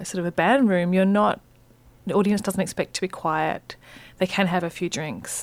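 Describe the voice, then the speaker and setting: A woman, guest on a radio arts show, talks with lively enthusiasm and plenty of fillers. Her voice sits a little low, and the studio sound is very dry. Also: no room sound. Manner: animated, enthusiastic, many fillers. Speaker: arts centre director, guest. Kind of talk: radio interview.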